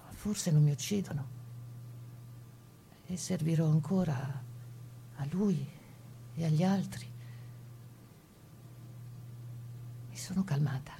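An actress playing a captured partisan woman who recounts her ordeal in a grave, intimate, husky stage monologue. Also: low-pitched, mellow, a little hiss or hum. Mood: desperate, then resolved. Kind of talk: dramatic monologue of wartime testimony.

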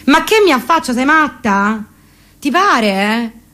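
Woman playing a high-pitched, exasperated Roman rich girl. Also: expressive, slow pace, sharp tone, full character voice. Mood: exasperated, melodramatic. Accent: Roman Italian. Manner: gossipy, melodramatic complaining. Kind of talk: one-sided comic phone monologue.

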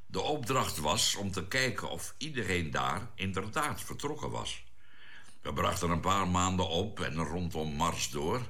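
An astronaut with a deep yet bright, forceful voice , acted by a man. He is an earnest crew member in a radio play, laying out explanations with a clear storyteller's purpose. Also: expressive, unhurried, exaggerated voice. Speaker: actor in a science fiction audio drama.

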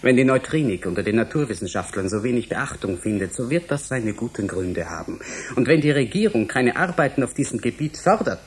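An actor in a German radio drama playing a cautious, orthodox physicist, husky-voiced, speaking in a formal, precise and defensive way. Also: male voice, medium pitch, big pitch swings, a little fast, a little hiss or hum, close to an everyday voice. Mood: dismissive, firm.

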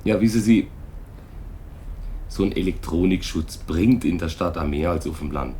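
Man as low, folksy village policeman. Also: normal speed, mellow, a little hiss or hum, close to an everyday voice. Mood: dismissive. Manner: placating, colloquial. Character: unhurried small-town policeman, folksy and dismissive. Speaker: actor in a radio play. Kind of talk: 1980s German radio drama dialogue.

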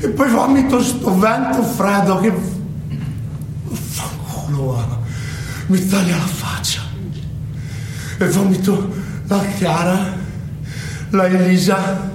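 An actor playing an unhinged, foul-mouthed young delinquent in a stage monologue, halting and repetitive, swinging between muttering and shouting. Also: male voice, sits high, background noise. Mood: brooding. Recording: echoey stage, distant mic, loud music.

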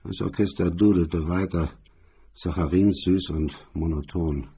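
Guy narrating a noir radio story, dark mellow tone, really husky, scripted reading. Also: low voice, steady tone, measured pace. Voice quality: husky, rough. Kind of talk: scripted literary crime narration for radio drama.

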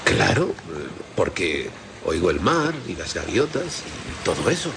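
An actor playing a sceptical, fussy gentleman in a Spanish radio play, querulous and condescending, with a Castilian accent. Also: low-pitched, big pitch swings, a little slow, gravelly, background noise, full character voice. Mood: relaxed. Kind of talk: Spanish radio theatre acting.

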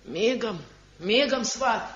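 A woman's voice: fairly high pitch, expressive, slow pace, fairly bright tone. An actress playing a sympathetic old village wife and mother-in-law in a radio drama. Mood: obliging. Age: older. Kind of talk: Soviet radio drama acting. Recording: dull 1970 radio play recording.

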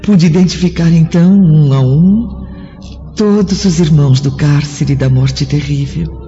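A woman playing a gentle, wonderstruck martyr's spirit in a solemn, lyrical, declamatory radio drama. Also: low-pitched. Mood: reverent wonder. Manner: measured, lyrical, declamatory storytelling. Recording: muffled old radio drama, long reverb, music.

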